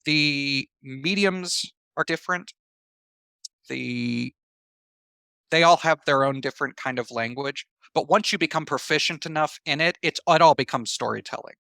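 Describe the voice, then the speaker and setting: Bright-voiced man explaining in an interview. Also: a little high, moderate pace, creaky, smooth, no room sound. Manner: reflective, explains through examples and comparisons. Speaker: interview guest, writer. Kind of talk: conversational interview answers.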